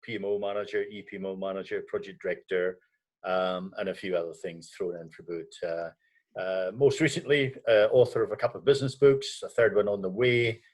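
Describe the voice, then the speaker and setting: An older man with a Southland New Zealand accent, speaking in a fairly low voice. He introduces himself on a video call with wry good humour. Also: a little fast. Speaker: panelist, company director. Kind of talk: self-introduction on a panel.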